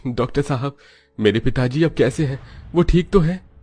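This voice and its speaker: A man's voice: medium pitch, normal speed, darkish tone, slightly rough, faint music behind, voice pushed up, natural voice. A man voicing an anguished, devoted adult son from a poor village in a dramatized moral story. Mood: anxious, pleading.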